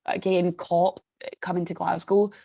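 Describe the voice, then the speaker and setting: A woman's voice: lowish voice, little pitch movement, unhurried, gravelly, warm tone, dry recording, clean studio sound. A woman speaking on a video call in a chatty, enthusiastic way. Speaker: staff member of an international alliance. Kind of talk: online meeting contribution.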